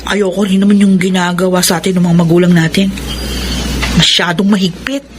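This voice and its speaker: A Filipino actress playing a sly, sympathetic older teenage sister in a radio drama. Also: female voice, fairly low pitch, flat delivery, brisk, natural voice. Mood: sly, confiding. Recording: noisy radio drama recording.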